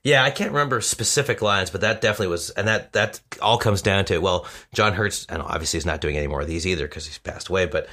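A man's voice: deep voice, fast, hardly any pauses, bright, forceful tone. A man who is a podcast guest, chatting casually in an informal, rambling way.

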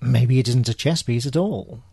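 An actor playing an urbane, wry British spy in a spoof radio drama, with a droll, understated British delivery. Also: male voice, medium pitch, normal speed, creaky, dark tone, slightly rough. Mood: wry, composed. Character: urbane, wry male British spy, unflappable gentleman agent.

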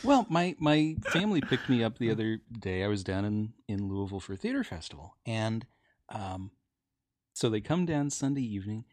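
A man's voice: on the low side, sing-song, normal speed. A middle-aged man chatting casually and telling anecdotes as a panelist.

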